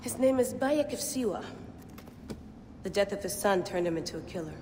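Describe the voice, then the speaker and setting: Actress as curt researcher in reverberant space. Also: female voice, mid-range voice, animated, moderate pace, lightly acted. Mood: dry, defiant. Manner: dry, curt. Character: stubborn, defiant, ambitious female researcher. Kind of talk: naturalistic video game acting. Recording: long reverb, background noise.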